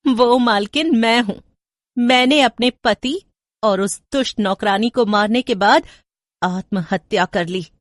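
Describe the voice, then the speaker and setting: A woman's voice: a little high, a little slow, dry recording, pitched above the performer's own voice, close to an everyday voice. A woman voicing a captive ghost mistress, solemn and dramatic, in a horror story. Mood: vengeful. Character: vengeful but pleading captive female ghost mistress.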